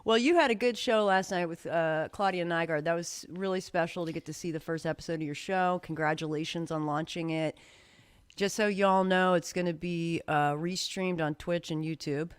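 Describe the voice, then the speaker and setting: A woman's voice: lowish voice, steady tone, moderate pace, clean tone, no echo. A woman hosting a live streamed show, chatting in an upbeat, easygoing way over a video call. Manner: chatty, upbeat, laughs easily. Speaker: host. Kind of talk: casual chat.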